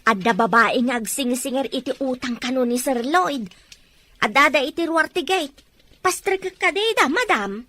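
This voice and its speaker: A woman's voice: high-pitched, lively intonation, moderate pace, sharp tone, exaggerated voice. A woman playing a deferential, anxious young housemaid in a Filipino radio-style drama. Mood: deferential, wary.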